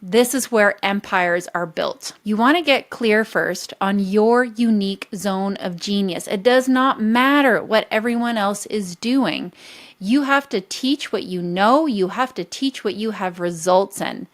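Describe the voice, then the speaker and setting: A woman's voice: medium pitch, a little slow. A woman business coach teaching a motivational masterclass, direct and emphatic, talking straight to her audience.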